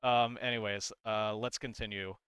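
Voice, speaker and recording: A man's voice: fairly low pitch, steady tone, normal speed, fairly bright tone, a little hiss or hum. A man hosting a casual lore breakdown, talking informally and hesitantly, rambling as he explains and sometimes reading aloud. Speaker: video host explaining game lore. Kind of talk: casual commentary on a comic, reading parts aloud.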